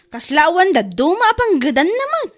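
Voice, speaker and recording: A woman plays a village gossip in a radio comedy drama. The voice is very high, nosy and scolding, with a chiding, insinuating delivery. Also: very animated, moderate pace.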